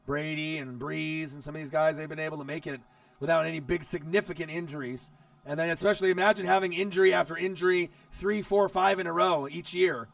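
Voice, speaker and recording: Hoarse, gravelly man on a football stream. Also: fairly high pitch, fairly quick, distant mic. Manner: excitable, chatty, talks straight to viewers. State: hoarse, losing his voice. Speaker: live stream host. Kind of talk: casual football watch-along commentary.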